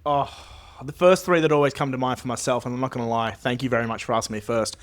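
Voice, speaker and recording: A man's voice: mid-range voice, steady tone, fast. A man on a podcast, bantering cheekily and joking at his own expense. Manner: cheeky, self-mocking. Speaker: podcast guest.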